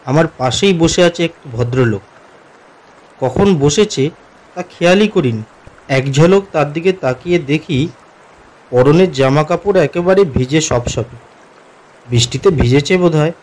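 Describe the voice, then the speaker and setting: A man playing an uneasy office commuter who narrates his own horror story in an expressive, atmospheric way for a cartoon. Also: a little high, poor audio. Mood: uneasy, hushed. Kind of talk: dramatic first-person horror story narration.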